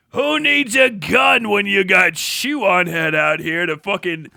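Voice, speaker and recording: Male livestream host, high and forceful, mocking and profane, very dry recording. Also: vocal fry, no room sound. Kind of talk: sarcastic off-the-cuff commentary on online clips.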